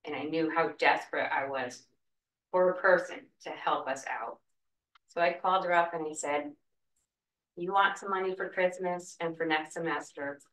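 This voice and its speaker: A woman's voice: deep voice, moderate pace, fairly bright tone, far from the mic. A woman, a school cafeteria worker, speaking earnestly at public comment during a school board meeting from a wooden podium. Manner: earnest, emotional plea. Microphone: podium mic, heard from a far camera. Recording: echoey room, voice heard from afar.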